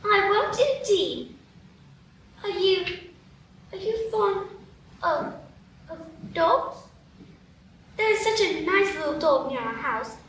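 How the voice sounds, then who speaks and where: Woman as curious young girl, very high, bright, roomy echo, distant mic. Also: expressive, takes it slowly, long pauses, a little hiss or hum, full character voice. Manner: wondering, apologetic, chatty. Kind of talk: live radio play acting.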